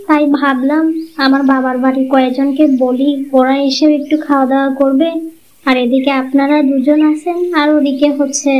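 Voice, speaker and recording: A woman's voice: high-pitched, darkish tone, muffled recording, close to an everyday voice. A woman voicing a selfish young village wife with a coaxing manner in a cartoon. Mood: polite. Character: selfish, greedy young village wife, sweet-talking but secretly resentful. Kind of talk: cartoon moral-story voice acting.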